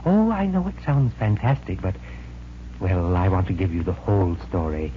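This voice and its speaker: An actor in a 1940s radio mystery playing a courteous, formal and uneasy owner of a haunted mansion. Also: male voice, low-pitched, lively intonation. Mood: grave, troubled. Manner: formal, polite, measured. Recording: muffled, noisy 1940s radio recording.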